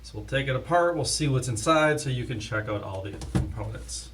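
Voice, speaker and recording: A man's voice: medium pitch, lively intonation, a little fast, a bit bright. A man hosting a casual, unscripted product demonstration, talking like an in-house expert. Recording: distant mic, faint background noise.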